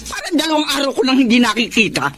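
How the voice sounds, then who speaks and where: High forceful voice, nagging exasperated mother, wide swings of pitch, scolding, radio drama. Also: sing-song, very fast, slight background noise. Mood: weary scolding. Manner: scolding, complaining. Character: exasperated, nagging, world-weary mother of grown children.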